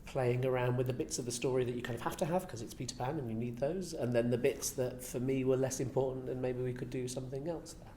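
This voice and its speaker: A man's voice: fairly even intonation, fast, few pauses, warm tone. A man giving reflective, earnest, conversational answers in an interview. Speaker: interviewee, pantomime writer and director. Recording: echoey room, faint rumbling noise.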